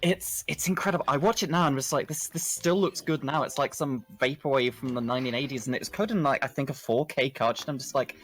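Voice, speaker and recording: Fast-talking man, emphatic and sweary. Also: medium pitch, fairly bright tone, a little hiss or hum. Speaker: stream guest. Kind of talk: casual chat. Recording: voice chat with music behind. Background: music.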